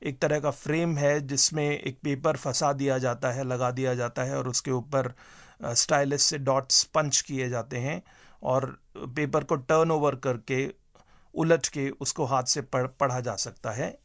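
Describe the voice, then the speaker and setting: A man giving measured, halting explanations as an interview guest, mixing in English terms. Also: medium pitch, fairly even intonation.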